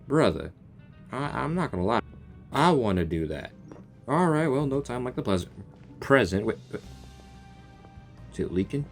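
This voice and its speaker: A man's voice: medium pitch, very animated, normal speed, pauses often, soft background music. A man giving casual, jokey commentary while playing through a game story, talking back to the characters. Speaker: gamer reacting to a story quest.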